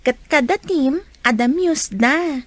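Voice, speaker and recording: A modest young female student in a radio drama. Her high voice is demure and questioning, with a dry, close sound. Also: slow, no room sound. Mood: curious. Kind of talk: Filipino radio drama acting.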